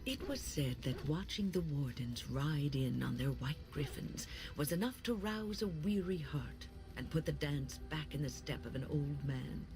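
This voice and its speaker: An elderly, kindly mage from a fantasy game. She speaks formally and with measure, like a counselor giving earnest advice, over clearly audible game music. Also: sits low, expressive. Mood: wistful storytelling. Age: older. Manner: measured, formal, admonishing phrasing. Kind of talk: video game voice acting.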